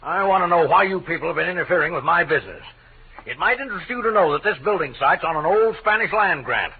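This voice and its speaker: Swooping, pompous older political boss. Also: male voice, fairly high pitch, lively intonation, fast talker. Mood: threatening. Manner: pompous, self-satisfied. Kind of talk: 1940s radio drama acting. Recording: noisy, narrow-band old radio broadcast.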